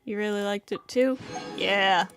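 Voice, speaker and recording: A woman's voice: medium pitch, measured pace, soft background music. A woman game streamer giving casual, informal, understated livestream commentary.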